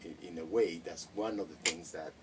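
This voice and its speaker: A middle-aged Mexican-born man whose first language is Spanish, recalling his schooling in a relaxed interview with frequent hesitations and restarts. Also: deep voice, brisk. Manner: reflective, many hesitations and restarts. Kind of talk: casual interview. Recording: distant mic with faint noise.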